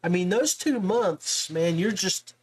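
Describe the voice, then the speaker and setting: A man's voice: high voice, expressive, slow, husky, rough recording. A man hosting a casual sports podcast interview, talking in a chummy, familiar way with guest and listeners.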